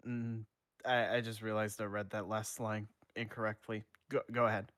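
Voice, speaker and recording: A man reading fan fiction aloud on a stream, informal and unhurried, chatting as he goes. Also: on the low side, flat delivery, fairly bright tone, no room sound, studio quality. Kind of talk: informal live story reading with banter.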